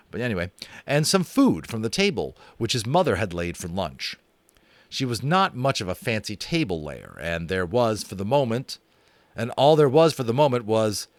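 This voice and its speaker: Animated American man reading aloud. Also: fairly low pitch, no room sound. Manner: animated, chatty, self-amused. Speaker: audiobook reader and host. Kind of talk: dramatic audiobook narration with chatty asides. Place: home room.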